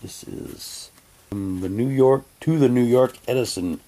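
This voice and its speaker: A man chatting casually while reading documents aloud, haltingly, with muttered asides to himself. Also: mid-range voice, warm tone. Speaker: reviewer unboxing an audio drama's props.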